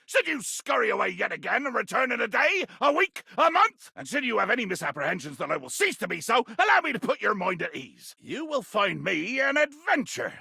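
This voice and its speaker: Raspy, wildly theatrical pirate berserker. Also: male voice, high-pitched, big pitch swings, a little fast, few pauses, no echo, studio quality, heavily acted. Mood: grandiose, threatening. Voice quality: gravelly, raspy. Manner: bombastic, florid archaic pirate diction, erupting into shouts. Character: ranting, grandiose, bloodthirsty male pirate berserker, comically menacing. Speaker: voice actor. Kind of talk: theatrical video game character acting.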